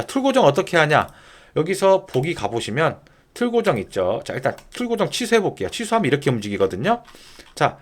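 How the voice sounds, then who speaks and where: A man giving an informal screen-share software tutorial, going step by step and often posing questions that he then answers himself. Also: mid-range voice.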